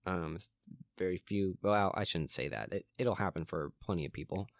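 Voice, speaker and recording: A young man hosting a solo podcast from his room, rambling with frequent ums and restarts, his voice a little hoarse and cracking. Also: deep voice, moderate pace, no room sound, clean studio sound. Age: young adult. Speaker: podcast host.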